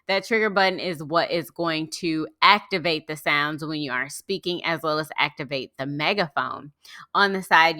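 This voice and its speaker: A woman's voice: lowish voice, pushed and bright, no room sound. A woman giving an upbeat, encouraging product review in a casual, conversational way.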